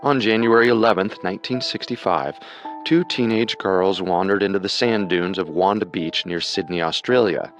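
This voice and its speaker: Low-voiced male true crime narrator. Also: moderate pace, faint music behind. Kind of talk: scripted dramatic narration.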